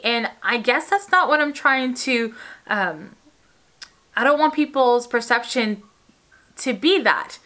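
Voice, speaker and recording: Young woman nurse, bubbly and chatty, fast talker, bright slightly high voice, lots of ums. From Puerto Rico. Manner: quick, bubbly, chatty with frequent fillers. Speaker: ICU nurse vlogger. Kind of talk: casual talk to camera.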